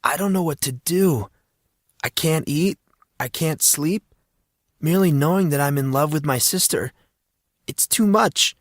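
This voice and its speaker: A cheeky teenage boy from an audio story, acted by a man, pitched well up. He talks in slangy, theatrical protests, like a born prankster. The sound is dry and close. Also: measured pace, pauses often, dry recording. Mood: anguished, pleading. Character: teenage schoolboy, dramatic prankster, whiny and cheeky.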